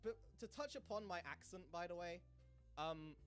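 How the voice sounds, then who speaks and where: A man's voice: high-pitched, animated, normal speed, a bit bright, soft background music. A man with a Cockney accent chatting playfully on a stream, laughing often and teasing his viewers. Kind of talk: casual stream chat.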